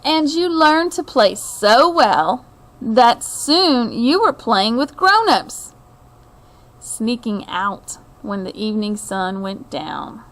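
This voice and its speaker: A middle-aged woman hosts a picture book read-aloud for children in a high-pitched voice. She takes her time, telling the story expressively with deliberate phrasing and speaking directly to her listeners. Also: very animated, a bit bright.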